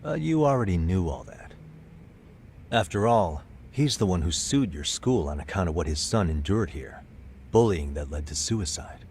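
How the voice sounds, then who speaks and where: A low-pitched male detective in a video game drama, measured and confident. He questions people with a dry, wry edge, over faint background noise. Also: lively intonation, darkish tone. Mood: probing, confident. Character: adult male private detective, cool, probing, wry. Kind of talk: video game cutscene acting, English dub.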